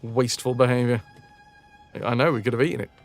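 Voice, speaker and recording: A man's voice: mid-range voice, fairly even intonation, fast. A man doing casual live video game commentary with a sardonic, dry sense of humour. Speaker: live streamer. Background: game music.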